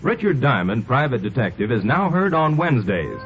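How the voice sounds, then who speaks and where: A man's voice: medium pitch, very animated, moderate pace. A man with an American accent reading scripted credits and promos as a 1950s radio announcer. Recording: dull vintage radio recording, music behind. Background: prominent music.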